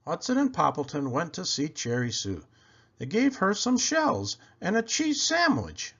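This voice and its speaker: Animated man reading a storybook, unhurried. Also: on the high side, husky, dull audio. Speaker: storyteller reading aloud to children. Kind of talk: expressive picture book narration.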